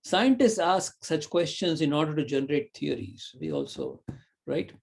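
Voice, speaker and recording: A man's voice: on the high side, huge pitch swings, moderate pace, vocal fry. A middle-aged man giving a recorded university lecture, thinking aloud with fillers and frequent "right?" tags.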